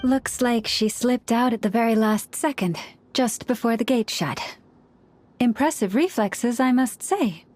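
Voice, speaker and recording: A woman voicing a composed, observant lady in an anime video game, with a measured, polished delivery. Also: on the high side, a little mellow. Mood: coolly impressed.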